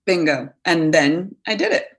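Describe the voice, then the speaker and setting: Thirties woman coach on a podcast, low-ish voice, animated and earnest, lots of fillers. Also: a little slow. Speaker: guest, coach. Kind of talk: casual podcast conversation.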